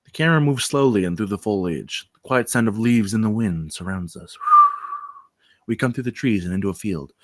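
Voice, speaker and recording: A man's voice: lowish voice, animated, a little fast, no echo. A man reading out stage directions as the narrator of a screenplay table read.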